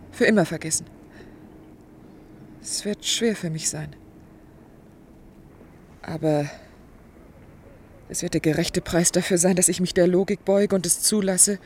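An actress playing an anguished, dutiful clinic worker in love in a German radio drama, earnest, formal and restrained. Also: female voice, on the low side, darkish tone, a little hiss or hum, close to an everyday voice. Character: anguished, resigned, dutiful woman working at a clinic, in love with a doctor.

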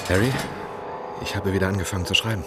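A man's voice: low-pitched, steady tone, quick pace, over loud music, natural voice. A man playing an eager, inquisitive novelist turned investigator in a radio drama, earnest and probing. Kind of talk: radio drama narration and dialogue.

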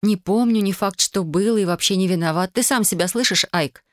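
A reader voicing a hurt, bitter young wife and mother in an audiobook, reproachful and sharp. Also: medium pitch, fairly even intonation, quick pace, no echo, clean studio sound, pitched above the performer's own voice, lightly acted. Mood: scornful. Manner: reproachful, sharp, rising in anger. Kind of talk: audiobook character voice.

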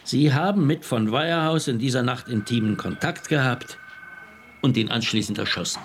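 A man playing a gravelly police chief. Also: mid-range voice, normal speed, a little hiss or hum, close to an everyday voice. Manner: stern, dry, sarcastic. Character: stern sarcastic male police chief. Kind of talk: radio drama acting.

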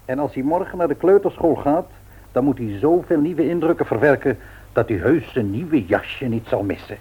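A man's voice: medium pitch, animated, normal speed, breathy edge. An actor playing a grumbling, teasing old husband and grandfather in a radio play, muttering and repeating himself. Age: older. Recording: dull old radio recording.

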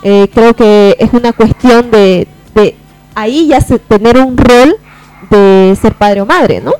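A woman's voice: fairly high pitch, slow pace, darkish tone, clean tone, soft background music, poor audio. A woman talking casually on a radio panel, building her points tentatively with repeated phrases. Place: radio booth.

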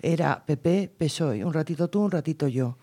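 Woman from Guadalajara, campaigner on a panel; mellow, darker tone, earnest and emphatic. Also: low voice, expressive, normal speed, breathy edge. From Guadalajara, Spain. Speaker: political campaigner. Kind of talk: radio panel discussion.

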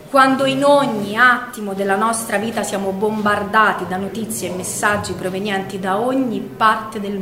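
Actress as imprisoned woman, high-pitched voice, introspective, weary, quietly defiant, measured stage monologue, echoing room. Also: lightly acted. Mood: wistful, estranged. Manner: measured, literary, introspective delivery. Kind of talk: dramatic theatrical monologue.

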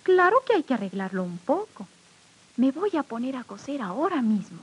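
A woman playing a sweet, gentle young servant girl, tender and polite, on an old children's story record. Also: high-pitched, sing-song, moderate pace. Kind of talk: 1950s children's record acting. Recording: old 78 rpm record with loud music.

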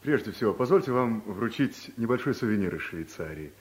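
Actor playing a suave, boastful deputy. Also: male voice, fairly low pitch, animated, brisk, natural voice. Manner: suave, showing off. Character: smooth, boastful man, newly arrived deputy. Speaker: deputy director. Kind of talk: 1970s studio radio comedy acting.

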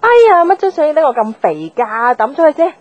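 An actress playing a blunt, sarcastic young office worker in a radio drama, dry and offhand. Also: female voice, high voice, very animated, brisk, highs cut off. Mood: brisk, sarcastic. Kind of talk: Cantonese radio drama dialogue. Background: background music.

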